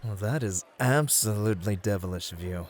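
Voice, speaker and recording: A man's voice: on the low side, brisk, soft background music, natural voice. A man playing a suave, competitive club host who flirts and teases theatrically in an ASMR roleplay. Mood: playful, suave. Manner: smooth-talking, teasing, theatrical.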